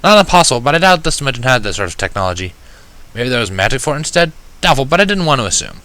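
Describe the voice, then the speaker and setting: A man reading a first-person story aloud with an expressive, wry, self-deprecating delivery. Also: medium pitch, rapid-fire. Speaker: fan fiction reader.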